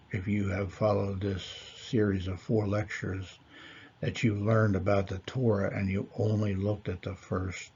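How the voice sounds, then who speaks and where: Male teacher, mellow and unhurried, measured delivery with pauses; husky timbre. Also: fairly low pitch, dull audio. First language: not Hebrew. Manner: measured, deliberate, with fillers and pauses. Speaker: Torah teacher. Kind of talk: slow lecture.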